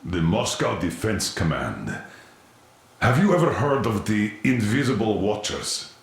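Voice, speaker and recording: A man acting a stern, weary military commander in a video game, gruff, authoritative and sardonic. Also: lowish voice, big pitch swings, measured pace, heavy reverb, heavily acted. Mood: weary, commanding.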